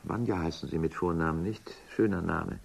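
An actor playing a capricious, cynical, world-weary official in a science fiction radio drama, moody, rambling and muttering, with a gravelly, husky voice. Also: male voice, low voice, a little fast, full character voice. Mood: agitated, brooding. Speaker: high-ranking boss.

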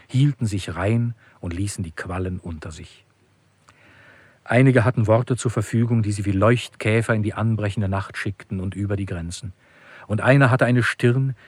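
Weary, solemn radio drama figure: husky, fairly low, deliberate poetic delivery, dry sound. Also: male voice, dry recording. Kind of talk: poetic recitation in a radio play.

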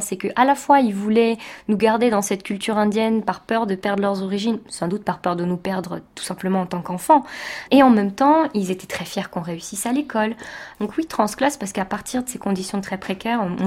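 A woman's voice: medium pitch, a little mellow. A woman from France, a teacher, giving a reflective personal testimony in an interview, speaking thoughtfully with many hesitations.

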